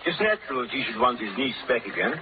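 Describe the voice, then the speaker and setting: Brisk, courtly English lord, played by a man. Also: mid-range voice, very animated, close to an everyday voice. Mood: gallant. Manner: polite, genteel, florid. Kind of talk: 1930s radio acting. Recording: scratchy, tinny 1930s radio broadcast.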